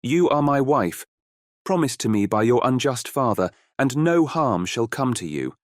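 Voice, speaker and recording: A man performs a bold young storybook hero. He speaks in a medium-pitched voice with formal, assured authority, recorded close and dry. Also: big pitch swings, normal speed, no room sound, natural voice. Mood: reassuring. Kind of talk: dramatized fairy tale audiobook.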